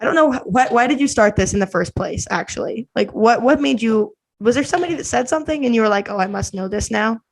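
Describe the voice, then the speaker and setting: A woman's voice: on the high side, expressive, quick pace, gravelly, few pauses, rough recording. A woman in her twenties with an American accent, chatting casually as a podcast host and bickering playfully. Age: 20s.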